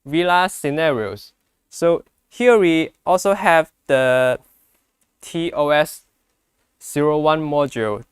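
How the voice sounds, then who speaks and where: A man with a mid-pitched, very clear voice demonstrates products in a dry, close recording. He is a non-native English speaker who explains step by step, halting and repeating phrases as he goes. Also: dry recording. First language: not English. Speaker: product presenter.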